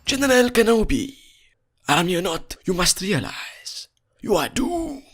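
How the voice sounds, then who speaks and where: A man voicing a menacing cyborg villain general in a theatrical, drawn-out film impression. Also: high voice, very animated, fairly bright tone, breathy edge, voice pushed up, full character voice. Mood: cold menace.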